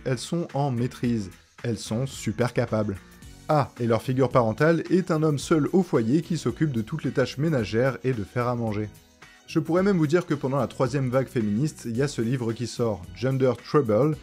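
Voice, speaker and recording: A man's voice: medium pitch, darkish tone. A man narrating a scripted but conversational video essay, informal, putting questions straight to his viewers. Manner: informal, rhetorical questions, direct address to viewers. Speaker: host of a cartoon video essay channel. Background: background music.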